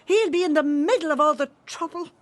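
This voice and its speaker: A woman's voice: sits high, brisk, natural voice. A woman playing an anxious Dublin mother in a radio drama, worried and exclamatory. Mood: alarmed. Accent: Dublin Irish. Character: anxious mother of a thirteen-year-old boy.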